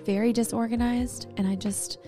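A woman's voice: on the high side, steady tone, moderate pace, warm tone, faint music behind. A woman co-hosting a casual chat podcast, with a chatty, jokey, folksy manner. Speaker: podcast co-host.

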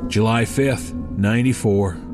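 Male actor as terse log-keeper for horror audio drama: very slow, clipped, matter-of-fact date stamps under loud music. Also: on the low side, close to an everyday voice. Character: terse male log-keeper announcing journal dates and times.

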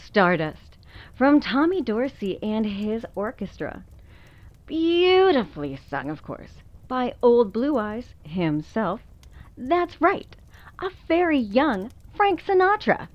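An actress playing a polished, upbeat female radio announcer with a smooth, presentational delivery. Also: on the high side, sing-song, slow, dry recording, dull audio. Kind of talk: old-time radio DJ announcing. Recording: band-limited radio sound with music behind. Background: music.

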